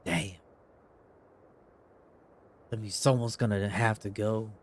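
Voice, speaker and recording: A man's voice: on the low side, flat delivery, takes it slowly. A man streaming a game, giving casual live reactions in a laid-back, slangy, sweary way. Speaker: gaming streamer. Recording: game music behind the voice.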